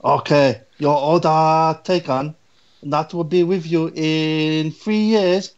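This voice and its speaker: A man's voice: a little high, fairly even intonation, takes it slowly, clean tone, highs cut off. A man voicing a curt male engine company clerk, in clipped broken English. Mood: chirpy.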